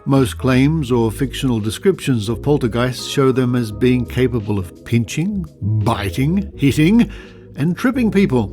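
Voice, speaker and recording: A man's voice: medium pitch, expressive, unhurried, mellow, faint music behind. An Australian man hosting a podcast, reading aloud with asides and theatrical emphasis. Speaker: podcast host.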